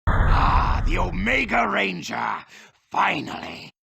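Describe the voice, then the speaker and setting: A man voicing a sardonic, menacing monster overlord in a video game, gravelly, sneering and theatrical. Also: high voice, very animated, slow, bright, forceful tone, slight background noise, heavily acted. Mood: gloating. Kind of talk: video game villain acting.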